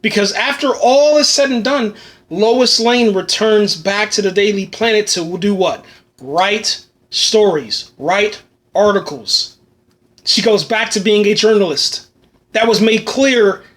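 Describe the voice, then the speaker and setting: High-voiced man rambling excitedly, going hoarse. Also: slow pace. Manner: rambling, enthusiastic, many fillers and "man". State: losing his voice. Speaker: podcast host reviewing a film. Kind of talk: solo podcast monologue, casual.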